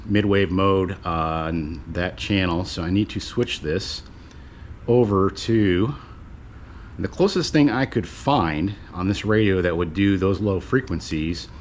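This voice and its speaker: A man with a fairly low voice hosts a casual demonstration video. He talks in a loose, conversational, explanatory way, wandering a little as he goes. There is faint noise behind him. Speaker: video host and presenter. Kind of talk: casual unscripted product demonstration.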